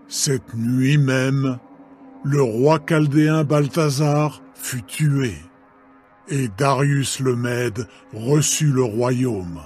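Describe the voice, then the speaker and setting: A man narrating a dramatised scripture reading in a measured, solemn manner. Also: medium pitch, darkish tone. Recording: background music.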